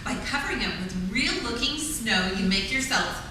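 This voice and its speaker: A woman playing a cheery 1940s radio pitchwoman giving scripted homemaking tips. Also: lively intonation, moderate pace, natural voice. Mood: cheery, instructive. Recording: distant, echoey, noisy hall.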